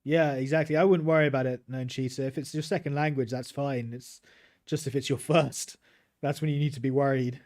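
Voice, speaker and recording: A man's voice: medium pitch, fairly even intonation, fairly quick, a little mellow. Easygoing British man streaming a game. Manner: easygoing, chats with viewers while playing. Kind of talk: relaxed gameplay livestream commentary.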